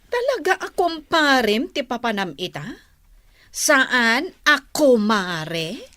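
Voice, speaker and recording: A woman's voice: high-pitched, big pitch swings, slow pace, bright, forceful tone, no echo, heavily acted. A woman playing a jealous, nagging, suspicious wife in a Filipino radio comedy-drama, speaking probingly with a Filipino accent. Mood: doubtful.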